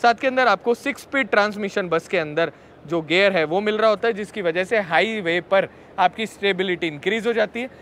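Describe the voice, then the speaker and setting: A man's voice: high voice, brisk, a bit bright, slight background noise. A man presenting a vehicle walkaround in a chatty way, talking to his viewers, recorded outdoors at an expo. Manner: chatty, addresses viewers as friends. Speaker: vehicle reviewer.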